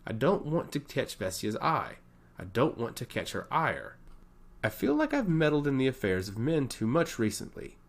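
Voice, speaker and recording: A man's voice: mid-range voice, wildly swooping pitch, normal speed, vocal fry, exaggerated voice. A man voicing an amused, teasing god of intellect in a theatrical way for a fantasy story. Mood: coy. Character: smiling, playfully coy male god of intellect.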